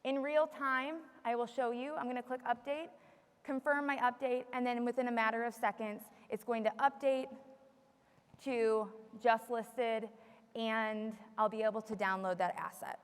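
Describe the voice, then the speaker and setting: A woman with a fairly high voice works as a software trainer, walking listeners through each step in plain, explanatory language. Her voice carries a long room reverb, as in a live training session. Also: steady tone, normal speed, clean tone. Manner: explanatory, repeats audience questions for the room. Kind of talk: live software tutorial with audience questions.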